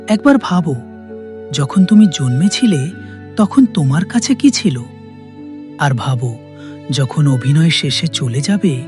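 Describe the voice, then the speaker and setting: A woman voicing a wise, serene holy sage in a cartoon moral tale, teaching in a solemn, measured manner. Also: deep voice, warm tone, slightly rough, no echo, exaggerated voice. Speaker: storyteller and teacher. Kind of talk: scripted cartoon moral storytelling.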